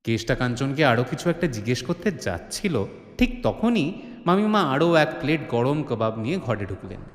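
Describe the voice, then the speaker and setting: Male narrator, dramatic audio drama storytelling, expressive swinging pitch, roomy reverberant sound, soft music underneath. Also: normal speed. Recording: echoing studio reverb with faint music bed.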